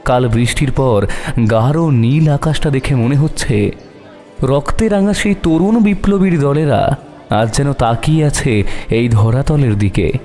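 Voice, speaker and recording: A man's voice: medium pitch, measured pace, mellow. A man narrating a scripted horror audio story in a dramatic storytelling style. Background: music.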